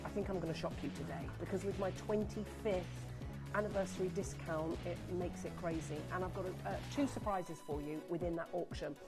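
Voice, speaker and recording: A woman presenting live on a TV shopping channel, chatty and excitable, rattling off buyer names and sounding a little sniffly from a cold. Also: fairly low pitch, fairly quick, over loud music. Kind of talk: live on-air sales pitch. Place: TV studio.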